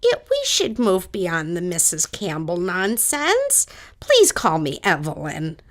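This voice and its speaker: A woman's voice: on the low side, wildly swooping pitch, slow, exaggerated voice. A woman playing a kindly, chatty older lady in an audio drama, genteel in manner as she befriends a lonely ghost. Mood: friendly, gracious. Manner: genteel, chatty, addresses others as dear.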